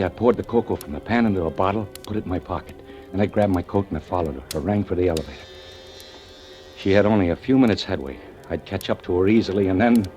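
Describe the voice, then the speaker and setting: An actor playing a tormented fire inspector who narrates in a tense, halting way in a 1940s radio drama. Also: male voice, sits low, darkish tone, close to an everyday voice. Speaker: narrator and lead. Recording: old 1940s radio broadcast with music.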